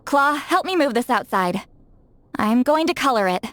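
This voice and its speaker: A woman's voice: sits high, animated, normal speed, heavy background noise. A woman playing a cool, reserved but loyal young female artist in a video game, with an understated, matter-of-fact manner. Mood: reluctant, resigned.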